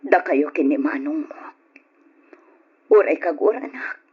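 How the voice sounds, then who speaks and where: A woman's voice: sits low, very animated, unhurried, breathy edge, exaggerated voice. A woman playing a hurt, devoted middle-aged mother in an old radio drama, earnest and pleading. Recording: dull old radio recording with music.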